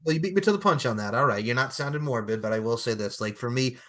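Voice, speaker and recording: A man's voice: mid-range voice, fast. A man, co-hosting a casual podcast chat and leaning on filler phrases. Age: 30s. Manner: animated, repeats filler phrases. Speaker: podcast co-host.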